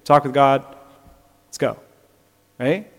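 A man's voice: medium pitch, slow pace. Man preaching in an echoing hall. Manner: earnest, rising to emphatic peaks, with light laughs. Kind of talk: sermon. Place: church.

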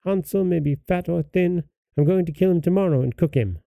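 A man's voice: high-pitched, a little fast, creaky, dark tone, dry recording, clean studio sound, pitched above the performer's own voice. A man voicing a wicked old witch in a storybook tale, with a bossy, jeering manner. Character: wicked old witch, falsely sweet, scolding and menacing.

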